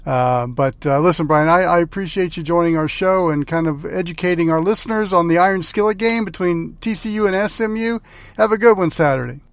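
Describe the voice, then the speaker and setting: A man with a somewhat high voice hosts a sports talk interview. The sound is very dry, and he puts questions to his guests in a conversational manner with loosely built phrasing. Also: brisk, dry recording. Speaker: sports show host, interviewer.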